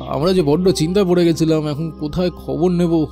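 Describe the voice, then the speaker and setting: A man performs a tired, worried neighbour from a village in an audio drama. The voice sits a little high and is soft-edged and mellow. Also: fairly even intonation, a little fast, soft background music, lightly acted. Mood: worried relief. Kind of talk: Bengali audio drama acting.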